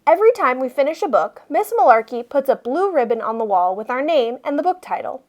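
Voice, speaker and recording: A woman reading a children's storybook aloud with expressive, emphatic narration. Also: high-pitched, a little fast. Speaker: storybook reader.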